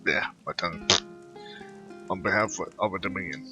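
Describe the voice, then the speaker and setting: Low-voiced man, halting game commentary. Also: sharp tone, faint music behind. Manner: halting, stumbles over words. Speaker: gamer playing through a quest. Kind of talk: casual gameplay commentary, reading dialogue aloud.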